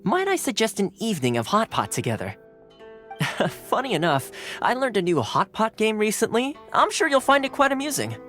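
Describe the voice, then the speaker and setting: A man voicing an easygoing, cheerful young male retainer in a video game, breezy and playfully expressive. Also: high voice, moderate pace, heavily acted. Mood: playfully enthusiastic. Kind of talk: animated video game voice acting. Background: background music.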